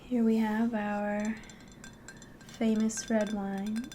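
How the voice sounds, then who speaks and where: A woman playing a friendly, curious innkeeper in an ASMR roleplay, speaking softly and politely, often slipping into a whisper. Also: mid-range voice, little pitch movement, a little hiss or hum. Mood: hospitable, gently chatty. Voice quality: soft, breathy, often whispering. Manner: gentle, unhurried, polite, hospitable. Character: friendly, curious female innkeeper of a fantasy tavern.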